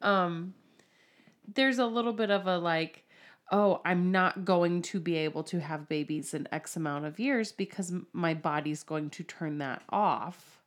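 A woman's voice: fairly low pitch, measured pace. A woman in her thirties chatting casually as a co-host, thoughtful and a little hesitant. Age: 30s. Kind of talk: casual chat.

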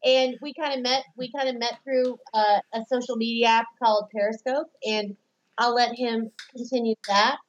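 A middle-aged woman with an American accent chats casually as a podcast guest. Her voice sits fairly high, and the audio is a bit dull and lo-fi. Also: fairly even intonation, normal speed. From United States, Florida and Midwest. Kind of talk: casual chat.

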